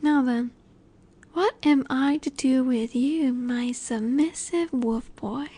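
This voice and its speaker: A woman acting as a cocky female werewolf alpha in a flirty audio roleplay. Her voice is high and she takes her time over each phrase. She taunts and teases, sure that she is in charge. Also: slow, dark tone, slightly rough, heavily acted. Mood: flirty, commanding. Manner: teasing, taunting, confident.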